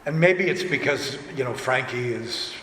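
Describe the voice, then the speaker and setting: A man talks casually on a discussion panel, drifting into asides as he goes. His medium-pitched voice rings around an echoey hall, with a light bed of room noise. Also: animated, normal speed, sharp tone. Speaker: director and panelist. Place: convention panel room, indoors.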